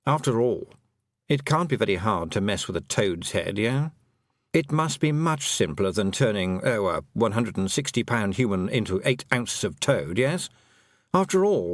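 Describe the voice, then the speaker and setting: Man reading as a nervous, rambling storybook toad, lively voice, dry close mic. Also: lowish voice, no room sound. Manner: rambling, fretful musing. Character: anxious talking toad, once a man.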